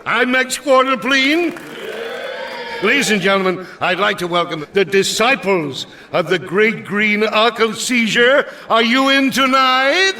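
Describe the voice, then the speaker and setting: A showy master of ceremonies in a radio sci-fi comedy. He is high-voiced and forceful, stretching out grandiose announcements at a slow pace, with some crowd behind him. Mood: showy welcome. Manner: grandiose, drawn-out showman announcing. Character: showy cabaret master of ceremonies at a space restaurant. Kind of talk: radio science fiction comedy acting. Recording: crowd and faint noise behind.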